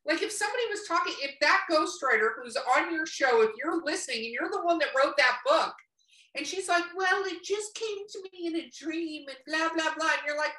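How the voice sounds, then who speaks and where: A woman's voice: sits high, animated, fairly quick, bright, forceful tone. A woman in a casual podcast chat, talking bluntly and emphatically and swearing freely. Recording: roomy echo, distant from mic.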